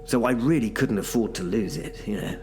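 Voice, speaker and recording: A man's voice: lowish voice, fairly quick, music in the background. A man narrating a first-person horror audio drama in a wry, self-mocking way. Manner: wry, self-mocking, dry British humour. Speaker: narrator and lead character.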